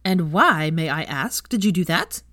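A woman reading a story aloud, voicing a bossy young woman who questions her friend with mock severity and a raised, higher voice. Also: animated, measured pace, fairly bright tone, dry recording, studio quality. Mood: probing. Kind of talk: audiobook character voice.

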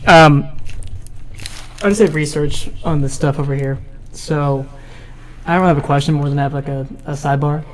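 Higher-pitched man, playful and joking. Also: fairly even intonation, normal speed, pauses often, a little hiss or hum, low-quality recording. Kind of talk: casual chat.

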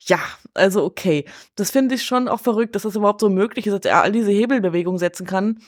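A woman's voice: medium pitch, fast, no echo. Chatty woman, very dry sound. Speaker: podcast guest discussing a film. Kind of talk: casual chat, film discussion.

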